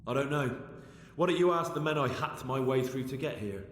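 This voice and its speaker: A man's voice: medium pitch, lively intonation, brisk, a bit bright, long reverb tail, a little hiss or hum, lightly acted. A man playing a young medieval man-at-arms in a video game, dutiful, dryly confident and plain-spoken.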